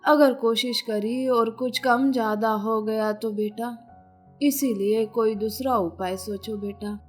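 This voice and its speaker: A woman voicing a frail, affectionate elderly village mother in an animated moral story. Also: fairly high pitch, slow, faint music behind. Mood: worried. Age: older.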